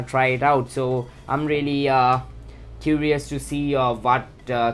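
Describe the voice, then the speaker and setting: A man with a Sri Lankan accent talks through a phone setup at his desk in a relaxed, unscripted way. His speech is full of small fillers and "let's go ahead" phrases. A faint music bed sits behind him. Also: mid-range voice. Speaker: tech channel host. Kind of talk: casual how-to walkthrough.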